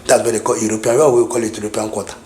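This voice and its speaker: A man's voice: lowish voice, flat delivery, racing, darkish tone, echoey room, slight background noise. A middle-aged man from Nigeria with a Nigerian English accent, reminiscing in casual talk, rambling with many restarts and repeated phrases. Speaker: vlogger.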